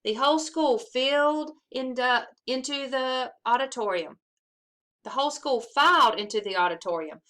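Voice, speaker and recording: A woman reading a children's story aloud in an expressive, animated way, acting out the dialogue with emphasis. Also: high voice, moderate pace. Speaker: assistant principal reading aloud to schoolchildren.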